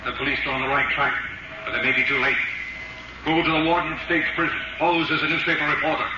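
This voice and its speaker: An actor playing a mysterious, menacing avenger who speaks with ominous, measured, mocking command in 1930s radio drama style. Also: male voice, fairly high pitch, fairly even intonation, distant mic, close to an everyday voice. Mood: urgent, commanding. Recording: very noisy, muffled, echoey 1930s radio recording.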